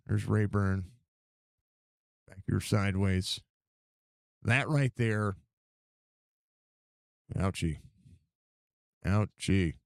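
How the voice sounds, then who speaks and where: A man with a stuffy, congested voice calling a live sim race, excited and rambling with lots of fillers. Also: on the low side, vocal fry, dry recording, clean studio sound. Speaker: sim racing broadcaster.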